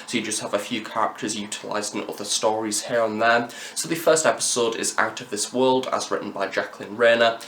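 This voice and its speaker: A man's voice: lowish voice, fairly bright tone. A young man presenting a review to camera in a chatty, wordy, emphatic way. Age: young adult. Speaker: review channel host. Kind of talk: scripted-sounding audio drama review to camera. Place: room in university campus housing. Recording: echoey, roomy sound.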